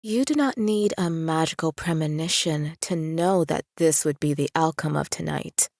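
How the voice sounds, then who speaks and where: A woman acts a poised female witch in an audio drama. Her voice is on the low side and her phrasing is formal and reproving. The sound is dry. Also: normal speed, gravelly, a little mellow, dry recording. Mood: exasperated. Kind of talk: audio drama character acting.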